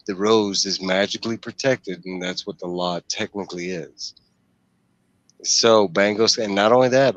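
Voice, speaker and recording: A man with a low voice hosts an informal book discussion. He explains as he goes and poses questions to the others. The headset sound is dry, with very little room in it. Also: dry recording, lo-fi sound. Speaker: host of a book discussion stream. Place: small room at home, video call. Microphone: headset microphone.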